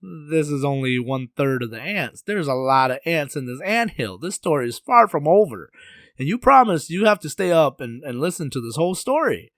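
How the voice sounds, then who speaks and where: A man's voice: fairly high pitch, big pitch swings, normal speed, a bit bright, no echo, clean studio sound, pitched above the performer's own voice. A man voicing a sly, laid-back trickster in a folktale, with cool, slangy talk. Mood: smug.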